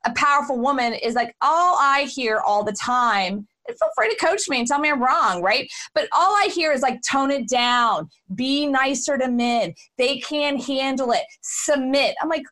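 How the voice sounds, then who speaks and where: A woman from Houston, hosting an interview in an emphatic, animated way. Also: high-pitched, normal speed, hardly any pauses. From Houston, Texas. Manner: emphatic, animated, talks over guest. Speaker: podcast host. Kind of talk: casual interview chat.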